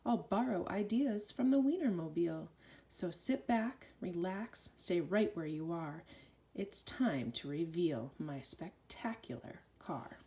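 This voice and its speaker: A woman reading a rhyming children's story aloud with an expressive, sing-song delivery. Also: mid-range voice.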